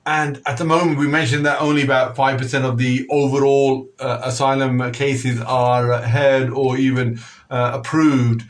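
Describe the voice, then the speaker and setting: A middle-aged man hosting a community radio phone-in, who talks in halting bursts full of fillers and restarts. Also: mid-range voice, level tone. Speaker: radio show host.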